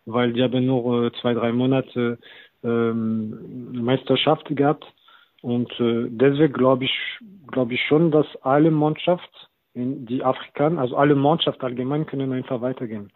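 A man in his thirties, a non-native German speaker, talking as a football coach guest with halting, hesitant phrasing. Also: mid-range voice, fairly even intonation, no room sound. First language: not German. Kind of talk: casual interview talk. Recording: tinny phone-like line, very dry.

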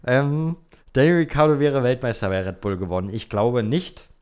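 A man's voice: mid-range voice, lively intonation, normal speed, a little mellow, clear, resonant tone. A young man chatting casually and colloquially as a podcast co-host. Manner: colloquial, slangy. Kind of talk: casual chat about Formula One.

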